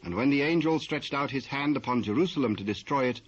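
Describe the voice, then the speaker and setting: A man with a British accent narrating dramatised scripture in a measured, formal manner. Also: mid-range voice, animated. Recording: muffled old cassette transfer.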